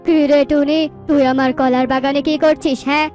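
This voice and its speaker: Woman as high-voiced male cartoon bird. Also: little pitch movement, a little fast, darkish tone, faint music behind, close to an everyday voice. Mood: stern, then kind. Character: kindly male banana grove owner bird. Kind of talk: children's cartoon voice acting.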